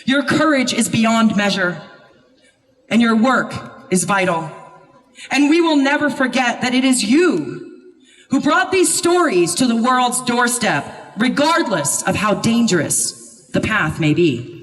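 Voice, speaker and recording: A woman activist delivering an impassioned protest speech at a vigil, speaking in an emphatic, deliberate, declamatory way. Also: on the high side, lively intonation. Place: public vigil gathering, speaking to a crowd. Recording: echoey amplified speech from a distance.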